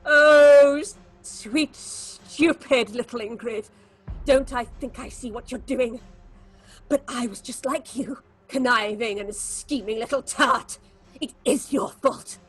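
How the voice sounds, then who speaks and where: A woman's voice: a little high, takes it slowly, slightly rough. A woman playing a guilt-crazed, jealous actress in a theatrical horror drama, ranting melodramatically as she confesses. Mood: scornful.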